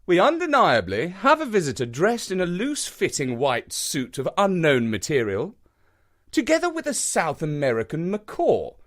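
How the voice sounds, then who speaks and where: A man voicing a brisk, self-assured male detective, speaking in energetic, precise pronouncements. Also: a little high, pitched above the performer's own voice.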